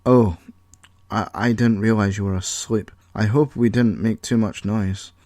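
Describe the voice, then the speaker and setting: A man's voice: lowish voice, steady tone, unhurried, a little mellow, no room sound, studio quality, lightly acted. A man voicing an easygoing young man who talks in a hesitant, apologetic way. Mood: sheepish. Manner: apologetic, hesitant, laid-back.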